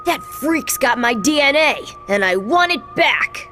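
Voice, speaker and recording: Wisecracking preteen boy, high pitch, sarcastic, brash, animated game hero, music underneath. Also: measured pace. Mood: determined.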